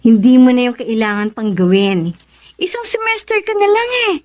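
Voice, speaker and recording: A woman's voice: on the high side, very animated, moderate pace, darkish tone. A woman playing a sensible, encouraging young college student in a Filipino radio drama. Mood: concerned, persuasive. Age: young adult.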